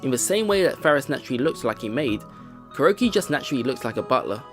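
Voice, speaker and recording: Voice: mid-range voice, big pitch swings, quick pace. A let's play host reading a visual novel's first-person narration aloud, with game music behind.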